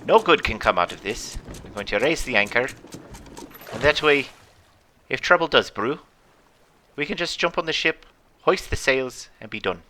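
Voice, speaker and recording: A man's voice: medium pitch, lively intonation, normal speed, bright, forceful tone. A man voicing a blustery, theatrical pirate captain who spins adventure tales in exclamatory old seafaring talk. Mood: cautious. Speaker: storyteller and host. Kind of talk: in-character adventure game narration for children.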